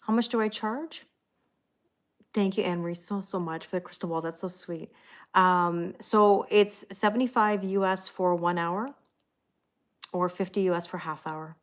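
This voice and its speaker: Middle-aged lady doing a tarot live stream, lowish voice, chatty, thanks everybody by name. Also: fairly even intonation, normal speed. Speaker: tarot reader hosting a livestream. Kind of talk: casual livestream chat, greeting viewers.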